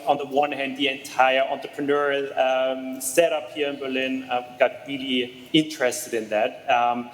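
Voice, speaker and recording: A man's voice: mid-range voice, little pitch movement, normal speed, hardly any pauses, long reverb tail, poor audio. A man from Austria, a startup co-founder, answering interview questions over a video call, with frequent fillers and restarts. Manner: hesitant, many fillers and restarts. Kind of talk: conversational remote interview.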